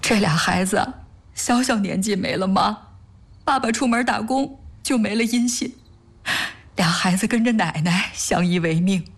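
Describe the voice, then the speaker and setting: An actress playing a caring, soft-hearted wife devoted to charity in a sentimental audio drama, speaking earnestly in a slightly husky voice. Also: female voice, medium pitch, measured pace. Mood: earnest, grateful.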